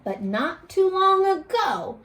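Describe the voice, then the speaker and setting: A woman teacher reading a picture book aloud to young children, expressively, pausing to put questions to them. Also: extremely high pitch. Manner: animated, addressing children with questions and pauses.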